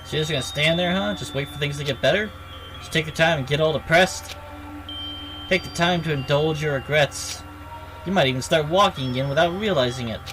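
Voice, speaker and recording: A man's voice: a little high, fairly quick, over music, close to an everyday voice, poor audio. A man voicing a tough young game character with a blunt, condescending, challenging way of talking. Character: tough, goading young gang-leader type, simulated. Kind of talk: video game dialogue read aloud.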